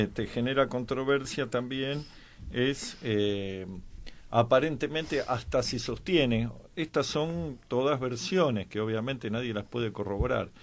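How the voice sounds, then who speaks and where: A man with a mid-pitched voice and an Argentine Spanish accent talks through history in an informal discussion. He explains things haltingly, as a commentator, leaving drawn-out gaps while he finds his words.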